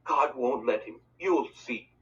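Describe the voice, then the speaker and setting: A man's voice: medium pitch, takes it slowly, natural voice. Man voicing a worried, stern storybook mother. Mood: harsh. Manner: curt, evasive. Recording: distant mic, dull lo-fi sound.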